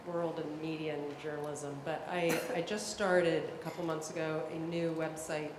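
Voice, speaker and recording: A woman in the audience asking a question in a halting, hesitant way. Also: low voice, flat delivery. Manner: halting, hesitant, many restarts. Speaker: audience member. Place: large hall at a public event. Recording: echoey hall, audible room noise.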